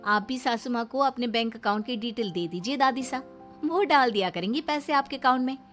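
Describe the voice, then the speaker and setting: A woman plays a young daughter-in-law in a family moral story. Her high voice is courteous yet cheeky and outspoken. Light music sits behind. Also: brisk, a bit bright, natural voice. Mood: pointed politeness.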